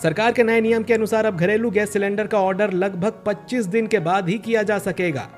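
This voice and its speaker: A man's voice: high voice, steady tone, brisk, faint music behind, voice pushed up, close to an everyday voice. A man voicing an urgent news reader delivering a bulletin in a cartoon.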